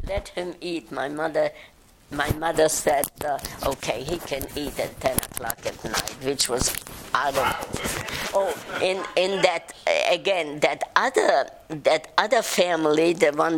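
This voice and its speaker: An older woman from Czechoslovakia, speaking haltingly in an oral history interview. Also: low voice. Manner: halting, many restarts and fillers. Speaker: interviewee, survivor recounting childhood.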